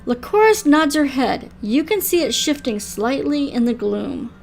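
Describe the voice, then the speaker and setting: Older female gaming host and story reader: high-pitched, slow, casual, with light music under the voice. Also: animated, gravelly, darkish tone, slight background noise. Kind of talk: casual commentary and read-aloud narration of a visual novel.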